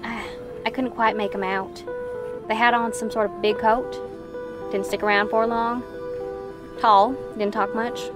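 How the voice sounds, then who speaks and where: A woman playing a shrewd, unhurried townswoman in a western audio drama, with a probing, matter-of-fact manner. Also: fairly high pitch, flat delivery, over music, close to an everyday voice. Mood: curious.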